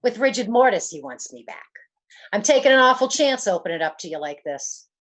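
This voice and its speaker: A woman's voice: mid-range voice, very animated, brisk, poor audio. A woman playing a frightened young gangster's moll on the run, slangy and pleading, in an old-time radio detective play. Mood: fearful, urgent.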